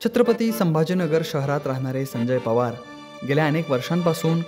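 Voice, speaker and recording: A man's voice: a little high, brisk, music in the background. A man reads scripted narration for a feature report.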